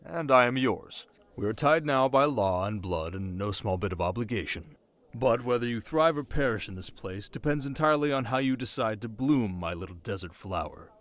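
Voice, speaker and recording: A man playing a young, sharp, commanding desert king in an ASMR roleplay, speaking in a measured, teasing, possessive way with dramatic pauses. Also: mid-range voice, animated, lightly acted. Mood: visionary, intense. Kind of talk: scripted ASMR roleplay addressed to the listener.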